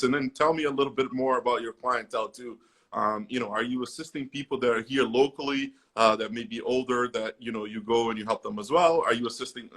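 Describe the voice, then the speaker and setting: A man with a bright, forceful voice hosts a relaxed local business interview. He talks loosely, stacking fillers and restarting his sentences as he goes along. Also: medium pitch. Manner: chatty, many fillers and restarts. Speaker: host. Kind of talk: casual interview.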